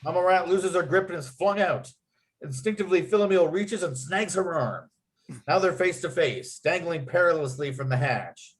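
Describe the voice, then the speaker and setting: A man's voice: on the high side, moderate pace. Man narrating a comedy script. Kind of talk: scripted narration, reading screenplay action and scene headings.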